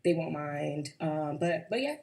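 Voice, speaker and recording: A woman's voice: low voice, animated, a little slow, vocal fry, darkish tone. A woman on a dating show, chatty and frank as she explains herself. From United States.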